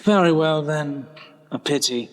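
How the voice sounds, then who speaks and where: Radio drama villain, male actor: smug, menacing, unhurried, husky, reverberant. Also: a little high, close to an everyday voice. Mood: smug menace. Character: gloating, ruthless male villain demanding a relic. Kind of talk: fantasy audio drama acting.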